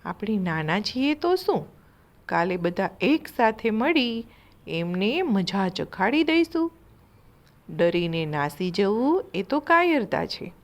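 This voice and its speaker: A woman's voice: mid-range voice, big pitch swings, takes it slowly. A woman voicing a small, brave and wise ant queen who speaks in a firm, exhorting manner. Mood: resolute.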